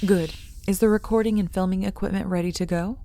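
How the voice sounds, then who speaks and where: An actress playing an earnest, yearning young daughter in a radio drama. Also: female voice, medium pitch, fairly even intonation, fast, dark tone, lightly acted. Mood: businesslike. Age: young adult.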